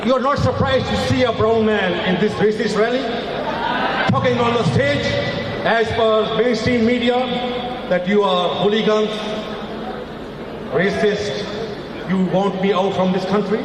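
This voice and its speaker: Emphatic man, echoing over loudspeakers. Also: sits high, steady tone, measured pace, long pauses, background noise. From Afghanistan. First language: not English. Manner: emphatic, repetitive, rising to shouts. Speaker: rally speaker. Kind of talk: impassioned political speech. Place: outdoor rally stage over loudspeakers. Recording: echoey loudspeaker sound from far off.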